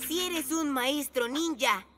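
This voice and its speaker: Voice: sits high, slow pace, a bit bright. An actor voicing a boastful, scheming boy ninja villain in a Latin American Spanish cartoon dub, haughty and theatrical with a drawl. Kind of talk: animated series dub acting. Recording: music under the voice.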